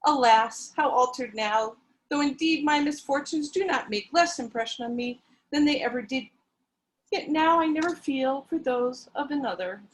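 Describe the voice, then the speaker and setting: A woman's voice: sits high, normal speed, natural voice, rough recording. A woman reading the part of an overly sensitive young heroine who narrates her past in an earnest, melodramatic manner. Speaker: reader in a dramatic reading. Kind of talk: dramatic reading over video call.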